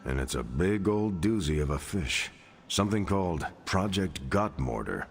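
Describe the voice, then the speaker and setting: An actor playing a dutiful soldier and team leader in a video game cutscene, speaking in a calm, measured way. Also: male voice, low voice, slight background noise. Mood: dry. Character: steady, dutiful male soldier-hero leader.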